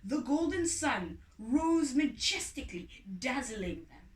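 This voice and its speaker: A woman storyteller reads a storybook with deliberate, dramatic phrasing. Her pace is very slow and her high voice rises and falls widely. The room echoes around her, away from the microphone. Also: very animated. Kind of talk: expressive storybook reading. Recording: echoey room, distant from mic.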